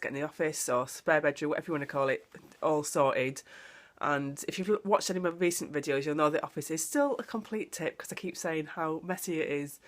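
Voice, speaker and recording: Chatty woman with a cold. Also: lowish voice. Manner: chatty, rambling, self-deprecating. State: full of a cold, congested. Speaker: vlogger. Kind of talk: casual home vlog. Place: at home, indoors. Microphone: phone or camera mic.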